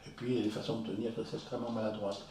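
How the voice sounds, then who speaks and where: A man calmly explaining feelings step by step, leading a conversational discussion, recorded with a distant mic in a very echoey room. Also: lowish voice. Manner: measured, explains step by step with examples. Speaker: discussion leader on psychology.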